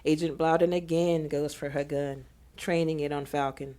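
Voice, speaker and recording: A woman reading scripted audio drama narration in a measured, descriptive manner. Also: low voice, steady tone, warm tone.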